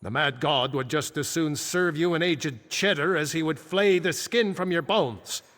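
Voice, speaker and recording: An ancient wizard for a fantasy game, grave n formal, slightly high voice, big long echo. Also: male voice, measured pace, fairly bright tone. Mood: grave, proud. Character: solemn ancient male archmage returned from death. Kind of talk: fantasy video game dialogue. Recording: echoing game hall with long reverb.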